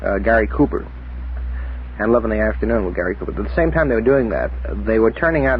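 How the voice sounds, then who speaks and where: A man on a 1960s radio panel, debating casually in a questioning, challenging way. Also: mid-range voice. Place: radio studio. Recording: tinny, noisy 1960s radio recording.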